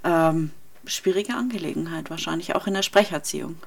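A woman with a fairly low voice leads a radio interview. She talks conversationally and offers reflective prompts for her guests to pick up. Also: brisk, vocal fry, slight background noise. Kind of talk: radio interview, asking questions.

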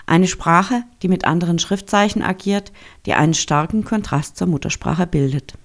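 A reporter reading a scripted feature narration in a measured, formal way. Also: medium pitch. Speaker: radio reporter.

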